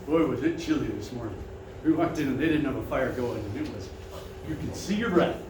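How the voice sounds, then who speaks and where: A man's voice: mid-range voice, expressive, brisk. Big-voiced man presenting in an echoey room. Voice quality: big, carrying voice. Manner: friendly, conversational, invites questions. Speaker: financial seminar presenter. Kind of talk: presentation to a seated audience. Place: restaurant dining room. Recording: echoey room, mic far off, noisy.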